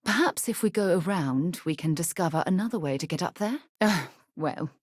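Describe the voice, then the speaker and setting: A woman playing a principled, earnest space explorer and leader in a video game, a husky voice and articulate, formal delivery. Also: lowish voice, lively intonation, moderate pace, dry recording, studio quality. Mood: composed, reflective. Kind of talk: scripted video game companion dialogue.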